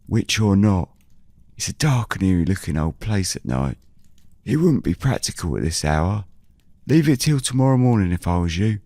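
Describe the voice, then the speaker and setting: A genial older countryman played by a male actor in an audio drama, heard close and dry. His low voice is folksy and unhurried, chatting cautiously like a local who knows the land. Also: very animated, mellow, no echo. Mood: concerned. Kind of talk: audio drama character dialogue.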